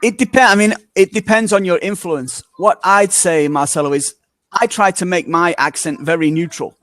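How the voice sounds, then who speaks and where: A British man from Manchester, answering interview questions on a video call in a relaxed, explaining way with lots of small fillers. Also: sits high, moderate pace, no echo. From Manchester, England. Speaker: interview guest, native English speaker.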